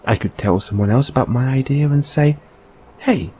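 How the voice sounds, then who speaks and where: A man playing a gentle, encouraging boyfriend in a comfort audio roleplay, speaking softly and haltingly with reassuring tag questions. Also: medium pitch, husky, close to an everyday voice. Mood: musing.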